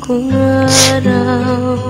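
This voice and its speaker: A woman singing a gentle lullaby. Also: high-pitched, completely flat delivery, drawn-out words. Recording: loud music mixed with voice.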